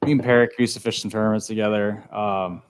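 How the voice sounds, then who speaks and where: A man's voice: fairly low pitch, fairly even intonation, quick pace, clear, resonant tone. A young man chatting casually on a podcast, joking and self-deprecating.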